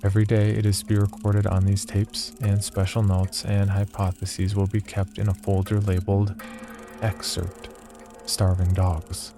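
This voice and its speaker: A male actor voices a clinical, sinister researcher narrating his diary in horror audio fiction. His voice is low and barely varies, measured and without feeling. Loud music plays behind it. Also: monotone, natural voice. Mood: clinical, detached. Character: cold, detached male psychology researcher keeping a sinister diary. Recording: loud music bed under voice.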